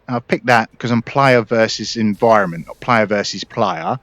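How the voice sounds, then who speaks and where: A man with a lowish voice talks casually through a game walkthrough. His delivery is informal and explanatory. The recording is close and dry, with a slightly muffled top end. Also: a bit bright, no room sound. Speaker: gaming video host. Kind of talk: casual gameplay commentary and walkthrough.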